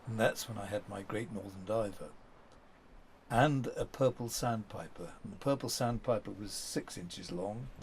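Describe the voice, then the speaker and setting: A man's voice: fairly low pitch, moderate pace, a little hiss or hum. An older man reminiscing in an interview, telling rambling, anecdotal stories from his past. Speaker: documentary subject.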